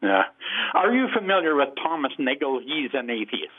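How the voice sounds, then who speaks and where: Male caller from Canada, mid-pitched, phone-filtered, wanders through his question. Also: very animated, moderate pace. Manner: rambling, hesitant, many fillers. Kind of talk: phone-in question to a radio show. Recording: tinny phone line.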